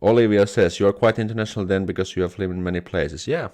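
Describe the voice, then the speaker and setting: A man's voice: sits low, steady tone, fast, a little mellow. A young man from Finland with a Finnish accent, chatting on a live stream as host, reading comments aloud, laughing things off and restarting words often. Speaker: YouTube host.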